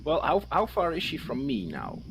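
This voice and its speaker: A man's voice: on the high side, huge pitch swings, moderate pace. A man playing a tabletop roleplaying game, casually and hesitantly explaining moves and repeating words. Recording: clearly noisy live stream audio.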